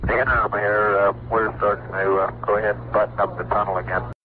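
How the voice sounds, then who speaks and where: A man speaking as an astronaut in calm, matter-of-fact procedural reports over a spacecraft radio link. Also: on the low side, fast talker. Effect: spacecraft radio transmission. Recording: noisy narrow-band archival space radio.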